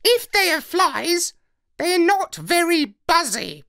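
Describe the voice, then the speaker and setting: Storybook parrot: piercing high squawk, bossy, curt, scornful. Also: male voice, sing-song, slow pace, vocal fry, bright, forceful tone, exaggerated voice. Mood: dry. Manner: curt, dismissive. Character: bossy, scornful know-it-all male parrot.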